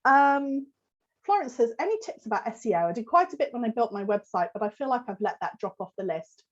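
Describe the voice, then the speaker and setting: Croaky woman giving a webinar. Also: fairly high pitch, very animated, fairly quick, lo-fi sound. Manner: conversational, many fillers, answers chat questions. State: croaky from a cold. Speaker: host giving a business talk. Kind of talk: live webinar with Q&A.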